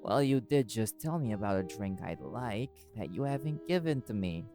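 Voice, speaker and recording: A man's voice: lowish voice, animated, moderate pace, dark tone, faint music behind. A man playing a cool, wry, flirty magical private eye in an intimate audio roleplay, teasing dryly and swearing casually.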